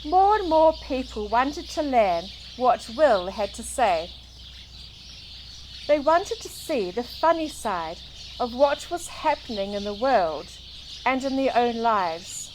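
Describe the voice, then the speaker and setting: A woman from New Zealand reading a children's storybook aloud in an expressive, deliberate way. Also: a little high, slight background noise. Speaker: children's book reader. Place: outdoors in a garden. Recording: outdoor recording with clear birdsong. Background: birdsong.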